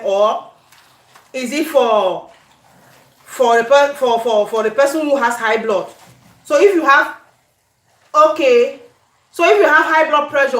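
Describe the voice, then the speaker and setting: High-pitched Cameroonian woman, echoey room. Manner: animated, conversational, repeats questions and phrases. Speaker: cooking vlogger. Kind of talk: casual live chat while cooking. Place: indoor home kitchen.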